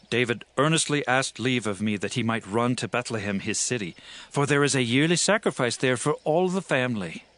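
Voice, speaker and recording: Anxious young fugitive, higher-pitched voice, wide swings, earnest, pleading, biblical drama. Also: male voice, a bit bright. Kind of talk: dramatized Bible reading in archaic English.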